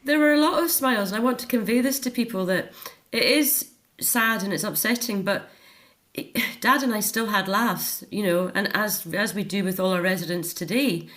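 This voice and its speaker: A woman's voice: mid-range voice, normal speed, fairly bright tone. A middle-aged Scottish woman talking earnestly and reflectively as a guest in a personal interview. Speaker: interview guest, author and care home volunteer.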